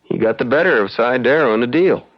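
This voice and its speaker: An actor playing a folksy young frontier lawyer in a radio drama, measured and hesitant as he reasons things out. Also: medium pitch, natural voice. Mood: reasoning, wry. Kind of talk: old-time radio drama acting. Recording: dull vintage radio drama sound.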